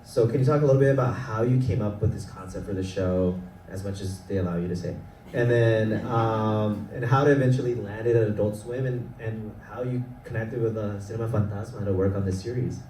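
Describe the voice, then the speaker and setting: A man's voice: lowish voice, brisk, warm tone. A man moderating a festival panel, asking questions in a casual way with lots of fillers. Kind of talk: panel discussion. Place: event panel hall. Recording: roomy sound from a distant mic, faint noise.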